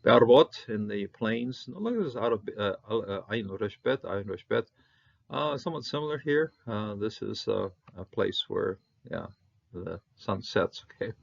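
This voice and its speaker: Male Hebrew teacher, low gravelly voice, halting delivery, lo-fi sound. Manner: halting, many fillers, repeats, bursts on Hebrew words. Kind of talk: unscripted verse-by-verse Hebrew lesson.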